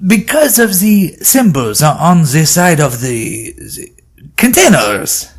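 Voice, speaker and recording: Casting: male actor as courteous, anxious French scholar, French accent, husky tone, expressive pitch swings. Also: slow, exaggerated voice. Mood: concerned. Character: anxious French male scholar, old friend welcoming guests. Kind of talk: audio drama acting.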